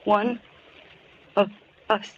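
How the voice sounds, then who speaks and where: A woman's voice: mid-range voice, little pitch movement, extremely slow. A woman playing a brash, slangy, scared young woman in old-time radio horror style. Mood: frightened, pleading. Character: wisecracking tough young woman, trapped and scared. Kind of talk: 1940s radio horror acting. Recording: muffled old radio recording with hiss.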